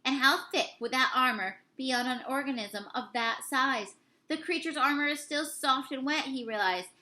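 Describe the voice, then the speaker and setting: Bright, higher-voiced woman reading a story aloud. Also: moderate pace. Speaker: teacher reading a novel aloud. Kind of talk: expressive storybook narration.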